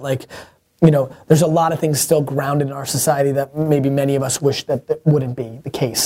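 A man's voice: fairly high pitch, mellow. A man hosting a viewer-question show, talking bluntly and emphatically with restarts and mild cursing. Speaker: host answering viewer questions. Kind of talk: energetic motivational Q&A.

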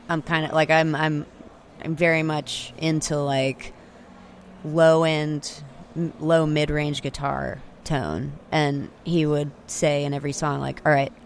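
A woman's voice: low-pitched, a little hiss or hum. A young woman from Texas, chatting casually as an interview guest, full of "like" and restarts. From Austin, Texas. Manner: chatty, filler-heavy, frequent "like" and restarts. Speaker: singer-songwriter guest. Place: remote location, portable recorder. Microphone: handheld recorder with external mics.

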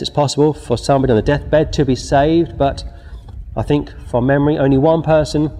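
A man's voice: mid-range voice, warm tone, in a large hall. A man preaching a sermon outdoors, reading scripture and pressing his warnings with emphasis. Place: outdoors before scenery.

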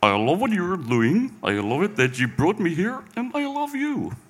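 A man's voice: sits high, big pitch swings, moderate pace, voice pushed up. A man voicing a gushing young Norwegian tourist with a put-on Norwegian accent.

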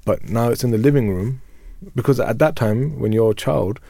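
A man's voice: mid-range voice, normal speed, creaky, dark tone, no room sound. A man in his thirties, co-hosting a radio discussion, whose first language is Urdu, telling candid, reflective stories from his childhood. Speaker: radio show co-host. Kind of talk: casual panel discussion.

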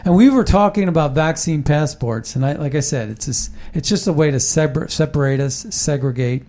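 A man's voice: a little high, fairly quick, mellow. A man hosting a casual political talk show, rambling and emphatic, often restarting his sentences.

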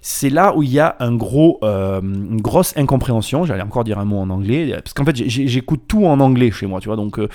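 A man with a mid-pitched voice hosts a podcast alone. He talks casually and playfully, with slangy phrasing and emphatic points. He tends to digress and then pull himself back to the subject. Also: expressive, brisk, few pauses, a little mellow. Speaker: podcast host. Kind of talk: casual solo podcast monologue.

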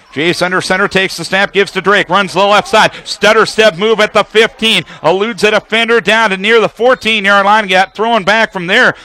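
Man, American accent, football radio announcer, high forceful voice, detailed running call. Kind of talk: live American football commentary.

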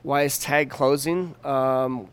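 Clear-voiced man in his fifties, casual. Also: medium pitch, fairly even intonation, slow. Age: 50s. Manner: informal, slangy. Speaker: chef and restaurant owner, interview guest. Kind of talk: casual conversational interview. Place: downtown Denver venue.

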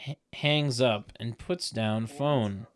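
A man's voice: mid-range voice, slow. A man reading stage directions as scripted narration for a comedy table read, literal and tongue-in-cheek.

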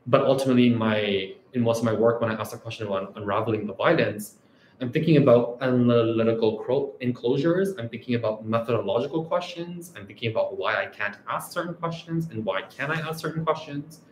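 Male academic, Canadian-raised, reflective lecture delivery, mid pitch, faint room hum. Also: a little fast, reverberant, rough recording. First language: Urdu. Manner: reflective, often ends points with "right?". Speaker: academic researcher. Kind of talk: scholarly talk.

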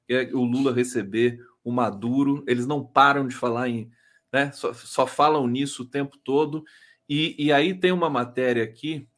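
A man with a Brazilian accent casually hosting a live chat show, playful and teasing, sometimes halting and repeating words as he frames a thought. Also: medium pitch, fairly bright tone. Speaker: host.

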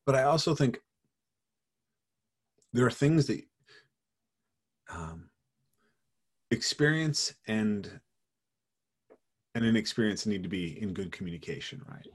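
A man in his late thirties with a somewhat low, husky voice, musing thoughtfully in relaxed conversation. He often tags his points with a questioning "right?". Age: 30s. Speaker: parkour practitioner. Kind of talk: casual conversation.

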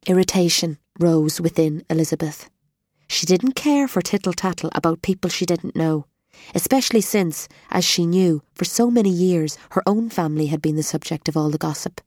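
A woman narrating an audiobook in a measured, expressive storytelling manner, recorded in a studio. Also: on the low side, no echo.

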